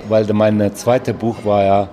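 An older man from Vojvodina with a Hungarian accent, answering an interview in halting non-native phrasing with fillers. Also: fairly low pitch, level tone, crowd noise. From Vojvodina, Serbia. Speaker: author.

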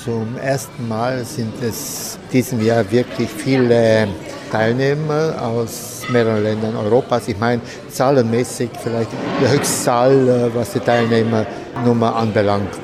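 A man speaking as event organizer in an interview, steady with occasional fillers. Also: medium pitch, measured pace, crowd noise. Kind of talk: interview answer.

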